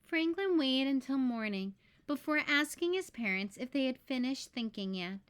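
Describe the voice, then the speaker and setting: A woman reading a children's picture book aloud as storyteller, with deliberate phrasing for young listeners. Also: high-pitched, lively intonation, clear, resonant tone.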